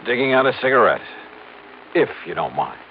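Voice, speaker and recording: An American actor playing a cool, wry hard-boiled investigator and narrator in an old-time radio detective drama, laconic and unflappable. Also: male voice, medium pitch, very animated, moderate pace, soft background music. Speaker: lead character and narrator. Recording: muffled, very noisy vintage radio recording.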